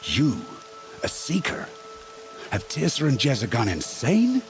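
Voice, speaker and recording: A man voicing a hostile gate guard in a game cutscene, slow and contemptuous. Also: medium pitch, huge pitch swings, soft background music. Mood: scornful.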